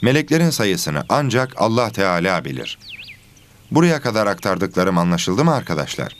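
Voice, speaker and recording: A man playing a patient religion teacher in an educational children's cartoon, explaining things clearly and didactically. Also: sits low, big pitch swings, normal speed, a little hiss or hum. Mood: instructive. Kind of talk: scripted educational cartoon dialogue.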